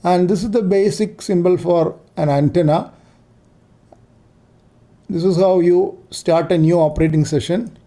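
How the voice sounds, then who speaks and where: A man narrating an unscripted screen-share tutorial, explaining things in short, halting, step-by-step phrases. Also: high-pitched, fairly even intonation, dark tone. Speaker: amateur radio operator giving a software demo.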